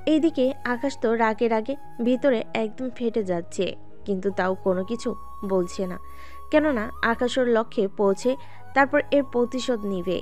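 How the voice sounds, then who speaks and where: A woman tells the story in a plain, even voice, now and then speaking straight to her listeners. Her pitch is slightly high, and light music plays beneath her narration. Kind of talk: audio drama narration.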